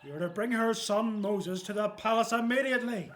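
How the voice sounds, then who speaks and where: A man's voice: high voice, normal speed, a little hiss or hum, lightly acted. A man playing a harsh, imperious Egyptian royal official in a biblical radio drama. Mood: stern, threatening. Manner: imperious, threatening.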